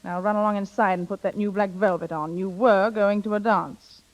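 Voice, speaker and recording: An actress playing a scheming, playful older sister in brisk, knowing 1930s radio drama style. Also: female voice, medium pitch, fairly even intonation, highs cut off, close to an everyday voice. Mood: bossy. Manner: brisk, knowing, theatrical, coaxing. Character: bossy, scheming, playful older sister coaching younger one. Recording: vintage 1930s radio broadcast.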